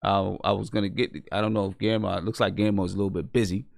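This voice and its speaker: A man's voice: on the low side, little pitch movement, racing, gravelly, dry recording, clean studio sound. A man hosting a live music review in casual chat, often hesitating and repeating his words as he goes.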